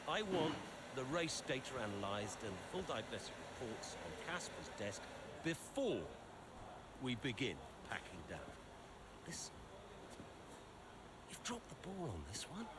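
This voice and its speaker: A man playing a stern, driven middle-aged team owner and father in a game cutscene, measured and commanding. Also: fairly high pitch. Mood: stern, pushing. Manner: measured, commanding, pausing for weight. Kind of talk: cinematic game cutscene acting. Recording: noticeable background ambient noise.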